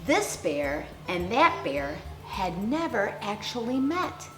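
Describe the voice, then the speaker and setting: A woman storyteller reading a picture book aloud to young children with theatrical, deliberate phrasing and dramatic emphasis. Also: on the high side, big pitch swings. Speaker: children's storyteller, clown character host. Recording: roomy echo, faint music behind.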